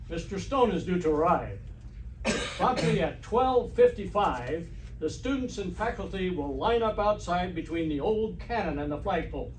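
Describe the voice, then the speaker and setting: An actor playing a pompous, vain, bossy middle-aged principal in old-time radio comedy, formal and blustery. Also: male voice, high-pitched, animated, normal speed, recorded from a distance. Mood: imperious. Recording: roomy stage recording from afar, hiss.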